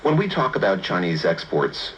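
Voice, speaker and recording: A man's voice: sits low, big pitch swings, normal speed. A man hosting a radio news programme, asking questions and reading narration, heard through an AM receiver. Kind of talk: interview questions and scripted narration. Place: played through a shortwave receiver speaker. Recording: dull AM radio, faint static.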